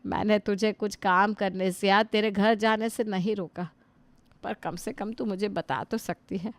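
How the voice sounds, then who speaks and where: A woman's voice: mid-range voice, fairly even intonation, a little slow, dry recording, natural voice. A woman playing an elderly, put-upon mother-in-law in a family drama, complaining and lamenting to God. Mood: hurt. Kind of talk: Hindi family drama acting.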